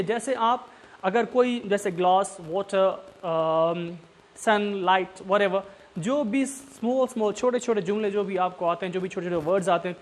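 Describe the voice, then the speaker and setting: A man, a Saraiki speaker, with a fairly high voice, talks learners through tips in a loose, unscripted lesson. He repeats his points and keeps checking that everyone is following. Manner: repetitive, coaxing, often checks understanding. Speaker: English tutor. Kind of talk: informal instructional talk.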